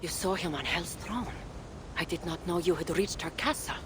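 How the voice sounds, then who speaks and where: An actress playing a stern, wry goddess queen in a video game, speaking in a measured, dry and commanding way. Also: on the low side, noisy background, close to an everyday voice. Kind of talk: dramatic video game acting.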